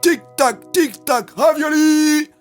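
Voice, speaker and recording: A man voicing a loud, childlike, manic madman who repeats himself in an audio story. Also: takes it slowly, faint music behind. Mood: manic, excited. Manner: shrill, loud, repetitive.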